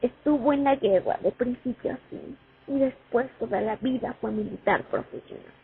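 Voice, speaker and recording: A woman playing a war veteran who recalls her years at the front in an expressive radio play monologue. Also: on the high side, moderate pace, gravelly, a little hiss or hum. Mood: reminiscing. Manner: reminiscing, expressive, dramatic. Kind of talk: radio play monologue, first-person testimony. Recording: tinny phone-like sound over music. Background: music.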